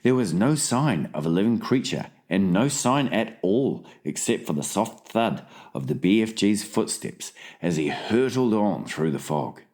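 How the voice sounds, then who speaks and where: A man's voice: on the low side, animated, a little slow, hardly any pauses, a little mellow. A man reads as an audiobook narrator. His delivery is dramatized storybook narration, telling the tale in scripted prose.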